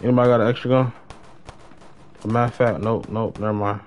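A man's voice: mid-range voice, little pitch movement, fast talker, slight background noise. A young American man chatting casually and slangily as he livestreams a game, often repeating his phrases. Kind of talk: casual gaming stream chatter. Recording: dull lo-fi game-stream mic.

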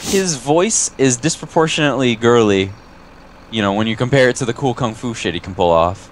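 A man's voice: mid-range voice, animated, brisk, slight background noise. A man co-hosting a video game session, trading wry, crude jokes in casual banter. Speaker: gameplay co-host. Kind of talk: casual let's-play banter.

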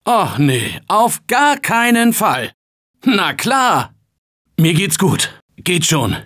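A man voicing an earnest, panicky office guy surviving a zombie apocalypse in a video game, husky and emphatic in colloquial short barks. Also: high-pitched, wildly swooping pitch, extremely slow, a bit bright, full character voice. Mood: casual.

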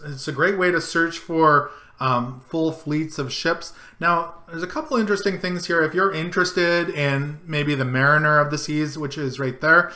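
A man's voice: on the high side, bright, forceful tone, echoey room. A middle-aged man casually talking through a screen-share tutorial, guiding each click and zoom step by step. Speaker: travel vlogger. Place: home room, indoors.

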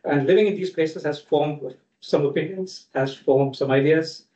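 A man from India with an Indian accent, introducing himself at a round-table meeting in a measured way. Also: fairly high pitch, dark tone. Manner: measured, with frequent "uh" and "kind of". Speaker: panelist. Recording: distant, echoey, dull lo-fi room sound.

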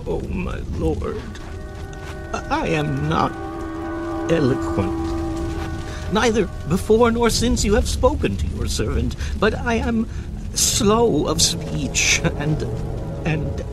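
Humble, reluctant man: highish, swooping, stammering nervously, Bible drama with music. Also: sing-song, full character voice. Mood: fearful, pleading. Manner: halting, stammering. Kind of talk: dramatized audio Bible acting. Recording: music behind the voice.

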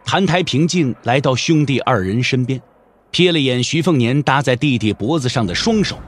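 A man's voice: mid-range voice, expressive, normal speed. A man narrating a Mandarin audio drama as a scripted story, theatrical and intense.

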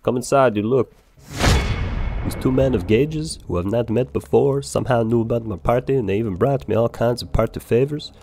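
A man's voice: mid-range voice, a little fast, darkish tone, close to an everyday voice. A man voicing a breezy, boastful traveling bar owner in an improvised video game roleplay, rambling and swearing freely. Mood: welcoming. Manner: rambling, profane. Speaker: roleplay player and narrator. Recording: noisy game audio, ambient background sound. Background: game world ambience.

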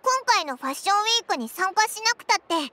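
Very high-pitched little sprite companion. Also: female voice, lively intonation, racing, dry recording, full character voice. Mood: curious, impressed. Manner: blunt, boyish childlike phrasing. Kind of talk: video game voice acting in Japanese. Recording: loud music behind the voice. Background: game music.

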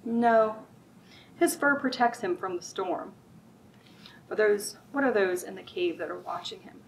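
A woman's voice: medium pitch, unhurried. A middle-aged woman hosting a children's storytime, reading and chatting to young listeners with simple wording. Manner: asks the listener frequent simple questions. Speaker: children's librarian and storytime host. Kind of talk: children's storytime read-aloud and chat. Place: library room with bookshelves. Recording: distant mic, audible room noise.

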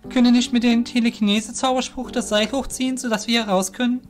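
A man's voice: extremely high pitch, steady tone, fast talker, faint music behind, pitched above the performer's own voice. A man voicing a cheeky, upbeat young male adventurer with a jaunty, joking manner. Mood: cheerful, cheeky.

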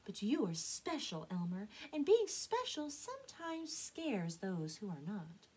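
A woman voicing a gentle, loving mother duck who speaks softly and soothingly. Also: mid-range voice, sing-song, a little slow, slightly rough. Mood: tender. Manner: soft and soothing.

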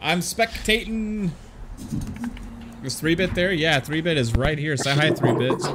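A man's voice: on the high side, unhurried, heavy background noise. A man hosting a gaming podcast, joking and cheering on a tournament match. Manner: joking, hypes the fights. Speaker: podcast host. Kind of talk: casual gaming chat.

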